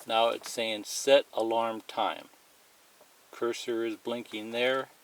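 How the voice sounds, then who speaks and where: A man's voice: medium pitch, level tone, slow, poor audio. A man giving a plain, methodical, unscripted step-by-step demonstration of a product as a tutorial presenter.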